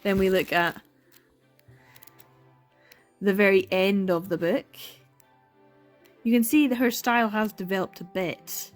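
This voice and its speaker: A woman's voice: medium pitch, measured pace, long pauses, faint music behind. Exasperated young woman reviewing manga. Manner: exasperated, sighing and laughing, dramatic repetition. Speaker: book vlogger. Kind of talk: casual reading vlog commentary.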